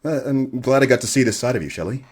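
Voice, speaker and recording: An actor playing a nervous, deferential radio journalist in an audio drama, hesitant and stammering. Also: male voice, medium pitch, lively intonation, fast talker, breathy edge. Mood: awkward, friendly. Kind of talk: full-cast audio drama acting.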